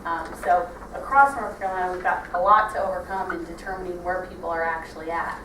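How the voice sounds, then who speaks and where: A young woman with a Wisconsin accent giving a training talk, halting with many fillers and restarts. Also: fairly low pitch, noisy background. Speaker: party trainer. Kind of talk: training presentation. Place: meeting room. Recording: echoey room, mic far off.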